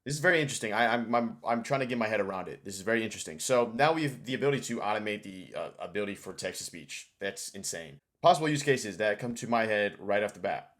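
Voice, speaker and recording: A man hosting a casual screen-share tutorial, informal and thinking aloud as he goes. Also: on the low side. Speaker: tutorial host.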